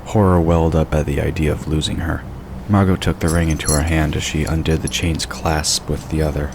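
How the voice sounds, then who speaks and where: Man, very deep bass voice, scripted fantasy narration, audio drama, noticeable background noise. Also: little pitch movement, brisk. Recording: clearly noisy, sound effects behind. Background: rumbling ambience and sound effects.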